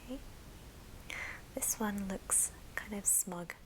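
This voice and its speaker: Slow whispering woman doing ASMR. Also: medium pitch, low-quality recording. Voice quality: breathy whisper. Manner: gentle, unhurried, with pauses. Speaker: ASMR video host. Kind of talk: ASMR show and tell.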